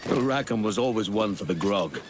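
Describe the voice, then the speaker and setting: A man plays a battle-worn pirate captain in a game cutscene, his voice on the low side. He is terse and stoic, and there is loud noise around him. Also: expressive, moderate pace, natural voice. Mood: resolute. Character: gruff, battle-worn adult male pirate captain turned assassin. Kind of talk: video game cutscene acting. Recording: loud ambient noise behind the voice.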